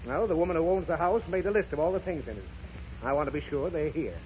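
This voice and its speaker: Man playing an exasperated high-pitched American radio dad. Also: lively intonation, fast, darkish tone, lightly acted. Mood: grumpy, impatient. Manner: irritable, sarcastic, huffy explanations. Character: exasperated, grumbling father of a pestering little girl. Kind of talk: 1930s radio comedy acting. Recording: dull, noisy 1930s radio recording.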